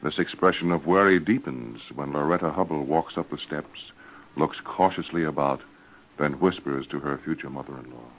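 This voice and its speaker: A man narrating an old radio serial as announcer in a measured, dramatic style. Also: rumbling low. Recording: dull old radio recording. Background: faint music.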